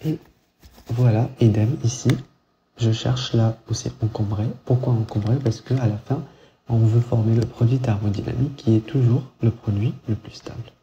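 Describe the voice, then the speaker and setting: Male chemistry teacher, middle-aged, very dark mellow voice, muffled lo-fi recording, casual lecturing with frequent check-ins. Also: on the low side, fairly even intonation, moderate pace. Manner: thinks aloud with many fillers and restarts, checks in with "ok?". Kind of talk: whiteboard lecture. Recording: muffled lo-fi sound with faint hiss.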